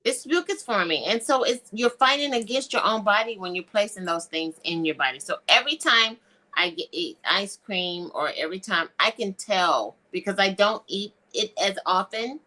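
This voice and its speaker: Bright-voiced bubbly woman hosting. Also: mid-range voice, animated, normal speed. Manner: bubbly, addresses viewers directly. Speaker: host. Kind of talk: casual health talk show chat.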